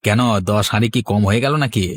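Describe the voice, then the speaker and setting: Man voicing a low, bossy cartoon swindler. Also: fairly even intonation, fast talker, no echo, clean studio sound, lightly acted. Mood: bossy, scolding. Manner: scolding, brash. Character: bossy, crafty male swindling market vendor. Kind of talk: cartoon voice acting.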